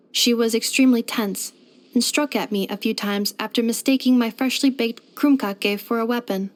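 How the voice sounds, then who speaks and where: A woman's voice: on the high side, natural voice. A woman voicing a polite, earnest female robot in a video game, speaking formally and precisely. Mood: calm, factual. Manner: formal, precise, measured delivery with clinical machine-like phrasing. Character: polite female robot maid, earnest, gentle and literal-minded. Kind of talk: scripted video game character voice lines.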